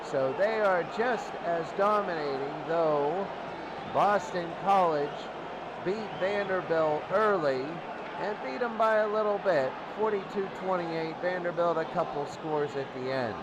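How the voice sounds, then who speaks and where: A man with a fairly high voice does football commentary in a very noisy amateur recording. He reads statistics slowly and haltingly, phrase by phrase. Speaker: sports commentator. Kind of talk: football pregame commentary, reading team statistics. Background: loud background noise.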